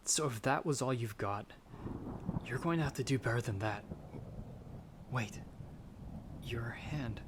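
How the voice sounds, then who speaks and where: A man playing a frightened but defiant young traveler in a dramatic audio roleplay, with breathless, halting, intense delivery. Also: medium pitch, natural voice. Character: frightened, defiant young male traveler turned half-vampire. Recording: noisy track with storm ambience.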